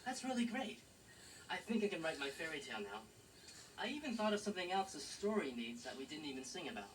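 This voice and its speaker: A woman's voice: sits low, big pitch swings, fast, background noise. A woman presents a scripted children's show in a playful, explanatory way for young children. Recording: distant old VHS sound, loud music. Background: music.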